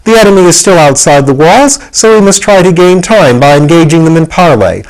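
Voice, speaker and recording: A man's voice: fairly high pitch, lively intonation, a little fast, voice pushed up, natural voice, poor audio. A man voicing a proud but anxious young rebel queen in a storybook, with an imperious, dramatic manner. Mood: defiant.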